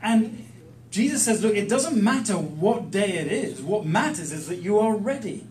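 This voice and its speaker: A man preaching in short, emphatic, plain-spoken phrases, pausing for an interpreter. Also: high-pitched, sing-song, a little mellow. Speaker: guest preacher teaching the Bible. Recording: echoey room, distant mic, faint noise.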